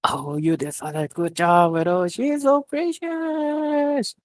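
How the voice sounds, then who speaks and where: A bubbly, affectionate fantasy guild woman, acted by a man in a story reading. She gushes loudly. The voice leaps far above his normal pitch, in a very dry recording. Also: flat delivery, slow, dry recording. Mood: gushing. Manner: loud, gushing.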